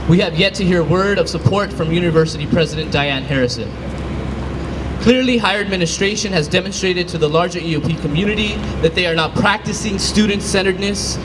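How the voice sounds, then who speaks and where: A man's voice: sits high, background noise. A man reads a prepared public address in a formal, earnest manner, speaking for a group.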